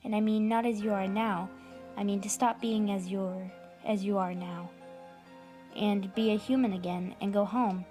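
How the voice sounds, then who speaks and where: A woman's voice: medium pitch, fairly even intonation, moderate pace, dark tone, faint music behind, natural voice. A woman playing an earnest, curious young child in a comic dub, with a hesitant, questioning manner. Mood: hesitant, tentative.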